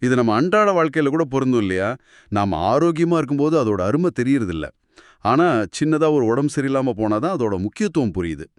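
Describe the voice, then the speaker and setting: A man with a mid-pitched, very expressive delivery co-hosts a talk. He sounds curious and reflective, recorded dry and free of room echo. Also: fairly quick. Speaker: podcast co-host asking questions and summing up. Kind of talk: scripted conversational discussion.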